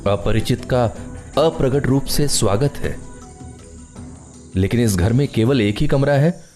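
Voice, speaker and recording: A man's voice: medium pitch, a little slow, darkish tone, natural voice. An actor playing an aloof, philosophical man who lives alone, speaking formal, literary lines in a radio play over music. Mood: stiffly courteous. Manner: formal, literary, argumentative diction.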